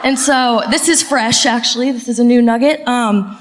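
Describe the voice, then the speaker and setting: A woman's voice: a little high, normal speed, in a large hall. A woman in her twenties from Massachusetts, an audience member nervously telling a story on stage. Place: theater stage before a live audience.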